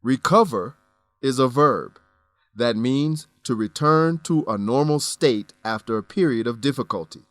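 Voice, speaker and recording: A man presenting scripted educational narration as a lesson host, deliberate and carefully enunciated. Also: medium pitch, no room sound, soft background music.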